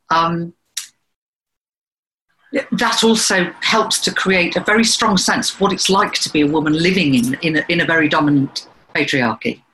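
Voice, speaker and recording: A woman's voice: low voice, fairly bright tone, poor audio. A woman interviewer who asks long, winding questions, often restarting mid-phrase.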